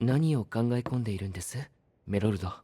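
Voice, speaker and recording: A man playing a gentle, composed knight in an audio drama, speaking in soft, formal, courteous phrases. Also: fairly low pitch, fairly quick, slightly rough, dry recording. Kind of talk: anime-style audio drama acting.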